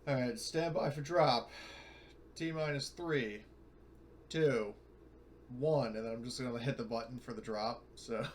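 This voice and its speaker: A man's voice: mid-range voice, measured pace, pauses often, slight background noise. Casual man playing a tabletop game. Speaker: tabletop game player, pilot character.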